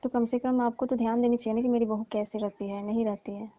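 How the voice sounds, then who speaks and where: Casting: woman as coy, complaining young daughter-in-law for phone comedy; higher, mellow voice. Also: fairly even intonation, fast, no room sound, close to an everyday voice. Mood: reproachful. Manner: coy, hinting, complaining, teasing. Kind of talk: staged comic phone-call skit. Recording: muffled, lo-fi, dry call recording.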